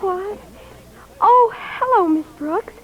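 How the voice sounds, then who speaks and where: A woman's voice: extremely high pitch, animated, slow, a little hiss or hum, exaggerated voice. An actress playing a dreamy, gushing teenage schoolgirl in an old radio comedy, breathless and swooning, on a tinny recording. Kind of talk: 1940s-50s radio comedy acting.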